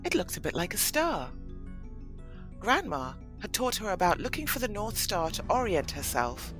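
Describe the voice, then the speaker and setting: A woman's voice: medium pitch, big pitch swings, normal speed, music in the background. A woman narrating a scripted children's story for an audio play.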